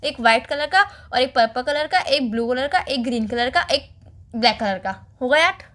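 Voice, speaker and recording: A woman's voice: high-pitched, animated, normal speed, a bit bright. A young woman playing a coaxing, whiny girlfriend who nags and complains in a casual phone-call skit. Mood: pleading. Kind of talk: casual scripted couple phone call in Hindi.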